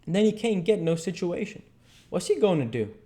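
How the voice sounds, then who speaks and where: A man's voice: high-pitched, sing-song, a little fast, mellow, pitched above the performer's own voice. Man voicing a stubborn, folksy Southern man. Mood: curious. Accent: American South. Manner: folksy dialect, insistent, indignant reasoning. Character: stubborn, argumentative uneducated grown Southern man, a runaway. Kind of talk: audiobook character dialogue.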